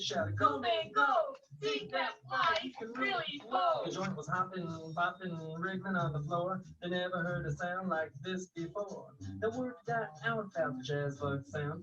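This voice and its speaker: A person reading a children's story aloud in an expressive, rhythmic way, with jazzy scat and sound effects. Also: mid-range voice. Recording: muffled lo-fi audio with music. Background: music.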